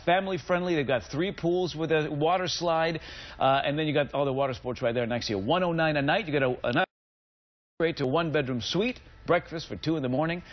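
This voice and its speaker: Enthusiastic guy selling travel deals on tv, middle aged, higher voice, slightly muffled sound. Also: brisk. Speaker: travel editor, guest expert. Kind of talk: upbeat TV travel segment presentation. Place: TV studio.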